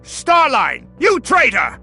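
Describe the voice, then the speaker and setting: A man's voice: very high voice, wildly swooping pitch, takes it slowly, creaky, sharp tone, faint music behind, full character voice. A man voicing a blustering, hot-tempered evil scientist in a comic dub, bombastic and indignant. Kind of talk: comic fan dub voice acting.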